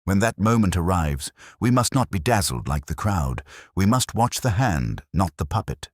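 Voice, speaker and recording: A man playing a calm, shrewd police inspector in a mystery story, speaking in a measured, formal, cryptic way. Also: sits low, dry recording, studio quality, pitched above the performer's own voice. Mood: grave, knowing.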